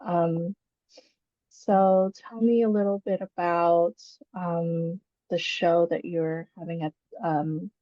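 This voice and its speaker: A woman hosting a podcast interview over a video call, asking gentle questions between soft listening sounds. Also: lowish voice, no room sound. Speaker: podcast host. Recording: dull lo-fi call audio.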